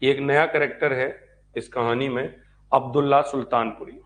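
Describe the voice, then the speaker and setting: A man's voice: medium pitch, fairly even intonation, moderate pace. A man teaching an exam-prep history lecture, explaining step by step, repeating phrases as if dictating and asking check questions.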